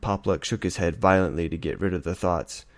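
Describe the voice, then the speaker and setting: Low-voiced man narrating a story. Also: steady tone, fairly quick, no room sound. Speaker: audiobook reader. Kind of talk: dramatic scripted story narration.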